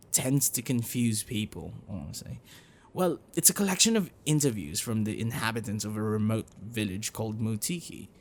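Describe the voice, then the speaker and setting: A man playing a gentle, bookish dragon-blooded librarian boyfriend in an ASMR roleplay, speaking in a hesitant, caring way. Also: lowish voice, creaky, a little hiss or hum, full character voice. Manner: hesitant, soft, caring, explanatory.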